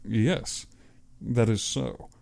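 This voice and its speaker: A man's voice: mid-range voice, steady tone, extremely slow, creaky, a little mellow, heavily acted. A man voicing an earnest, civilized younger hunter in an audiobook, reserved and plain-spoken. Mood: polite. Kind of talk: audiobook character acting.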